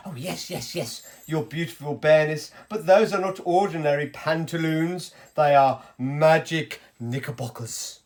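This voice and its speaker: A woman's voice: deep voice, measured pace, few pauses, darkish tone. A woman voicing a sly, fawning male tailor in a children's storybook, grandiose and salesmanlike. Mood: sly persuasion.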